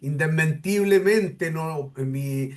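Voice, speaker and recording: A middle-aged man with a Chilean accent speaks in a formal, deliberate register, pausing on fillers before pressing his points with emphasis. His voice sits a little higher than most men's. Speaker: interviewer. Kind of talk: formal discussion, addressing a researcher.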